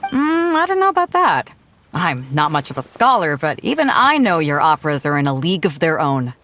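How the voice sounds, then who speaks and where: A woman's voice: low-pitched, big pitch swings, fairly quick, a bit bright, a little hiss or hum. A woman voicing a confident, plain-spoken ship captain in a video game, casual and hearty. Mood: warmly impressed. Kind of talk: scripted video game dialogue.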